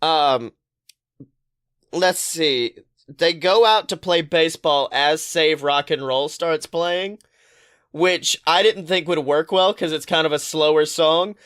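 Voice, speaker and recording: A man with a somewhat high-pitched voice talks as a podcast co-host. He chats casually about pop culture, rambling through stories in an animated way and swearing freely. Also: slow pace, smooth. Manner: animated, profane, rambling storytelling.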